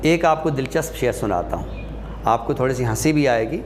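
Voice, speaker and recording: A man giving an explanatory class lecture in a deliberate manner, pausing and posing questions that he then answers. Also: mid-range voice, lively intonation, slight background noise. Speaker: lecturer teaching Urdu rhetoric.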